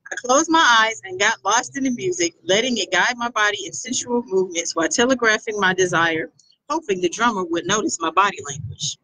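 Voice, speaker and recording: A woman author reading a first-person chapter of her own novel aloud, expressive and animated. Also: medium pitch, fairly quick, slight background noise, low-quality recording.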